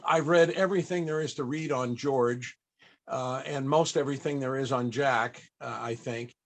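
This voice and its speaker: A man hosting a panel interview in a conversational way, with halting, effusive phrasing as he works toward his questions. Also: medium pitch.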